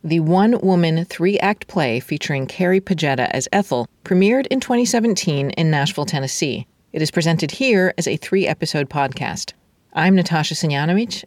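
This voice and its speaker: A woman's voice: low-pitched, animated, normal speed, no room sound. A woman announcer reading a scripted introduction to a podcast drama.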